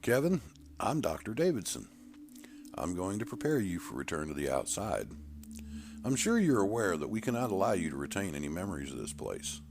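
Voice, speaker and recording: A man performs a formal storybook doctor, clinical and reassuring as he explains things. The voice moves widely in pitch over a noisy recording. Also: big pitch swings, a little fast, creaky, a little mellow, exaggerated voice. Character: calm, formal male doctor who erases memories.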